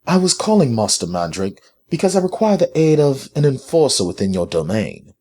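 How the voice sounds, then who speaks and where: A man voicing a polite, authoritative British mistress in a formal, measured manner for a story. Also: mid-range voice, sing-song, darkish tone, pitched above the performer's own voice. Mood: polite, direct.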